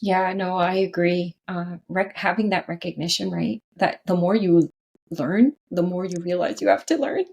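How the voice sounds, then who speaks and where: Guest expert, Canadian woman, lowish gravelly voice, earnest and explanatory. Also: moderate pace, a little mellow. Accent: Canadian English. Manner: earnest, frequent "right?" tags and restarts. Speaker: podcast guest, leadership consultant. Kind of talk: interview conversation.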